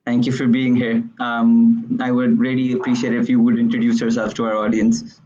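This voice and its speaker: A man's voice: mid-range voice, monotone, moderate pace, dark tone, dull audio. A man hosting an interview, asking questions hesitantly, with many likes and restarts. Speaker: host. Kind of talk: casual interview.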